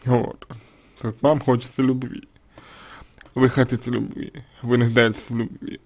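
A man's voice: mid-range voice, little pitch movement, moderate pace, darkish tone. A man giving spoken advice to a listener, hesitant, with many fillers and restarts. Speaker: psychologist answering a question.

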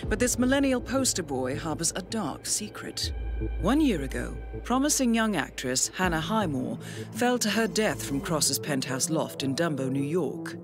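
An actress playing a composed, businesslike intelligence handler, giving formal, measured, articulate mission briefings in a video game. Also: female voice, low-pitched, very animated, music in the background.

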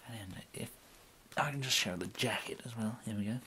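A man in his thirties with an English accent playing a polite, fussy gentleman tailor in an ASMR roleplay, murmuring hesitantly and often slipping into a breathy whisper. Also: fairly low pitch, moderate pace, slight background noise. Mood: courteous, pleased. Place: indoors, plain small room, close to camera.

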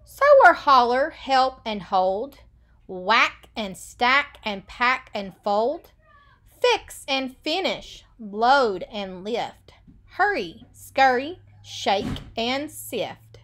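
A woman in her thirties with a high voice, teaching young children through a read-aloud. She takes her time, speaking slowly and stressing key words in a sing-song way. She is recorded on a webcam in a small room. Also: animated, fairly bright tone. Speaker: elementary school teacher. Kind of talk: read-aloud picture book lesson for children.

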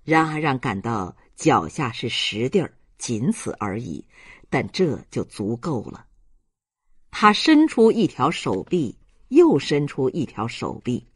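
An audiobook narrator reading a novel aloud in a measured, dramatic storytelling manner. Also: mid-range voice, slightly rough, no echo, studio quality.

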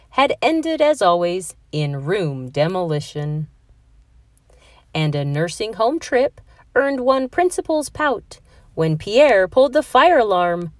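A woman reading a rhyming children's story aloud in an expressive, measured storytelling manner. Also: mid-range voice, no room sound, studio quality. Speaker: storybook reader. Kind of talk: children's read-aloud, rhyming scripted narration.

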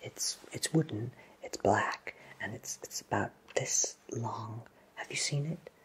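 A man playing a friendly, absent-minded wizard who runs a potion shop, speaking in a soft breathy whisper for an ASMR roleplay, gently and reassuringly. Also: on the low side. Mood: flustered, searching. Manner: slow, gentle, hesitant, reassuring.

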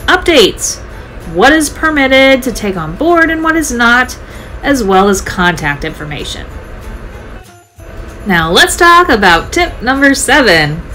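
A woman's voice: on the high side, bright, forceful tone, poor audio. A woman narrating her travel vlog in a scripted voice-over, upbeat, chatty and enthusiastic. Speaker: travel vlogger.

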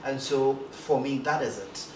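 A light-voiced man talks animatedly in an interview, tripping over a few starts. The sound is roomy, with the mic set back from him. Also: a little slow. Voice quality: light, high-ish voice for a man. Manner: animated, gestures a lot, stammers on starts. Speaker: broadcast journalist guest. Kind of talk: casual interview talk. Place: indoor studio set, seated in armchair. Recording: roomy echo, distant mic, background noise.

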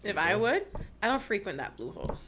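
A woman's voice: mid-range voice, brisk, noisy background. A young woman with a Bahamian accent, a guest on a podcast telling stories with frequent laughter, speaking into a close desk mic in a small studio. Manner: lively storyteller, laughs often. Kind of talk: casual podcast chat.

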